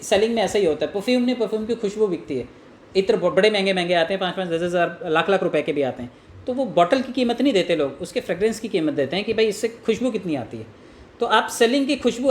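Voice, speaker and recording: An echoey room with faint background noise surrounds a man from India as he coaches on selling. He explains things earnestly and informally, often posing rhetorical questions and voicing sample phone pitches. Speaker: network marketing trainer. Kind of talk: informal sales coaching with role-played call examples.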